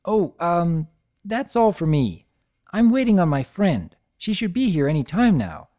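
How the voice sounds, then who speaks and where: A woman's voice: lowish voice, sing-song, measured pace, warm tone, pitched above the performer's own voice. A woman voicing a polite, distracted young mare who talks hesitantly. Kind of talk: dramatic audiobook reading.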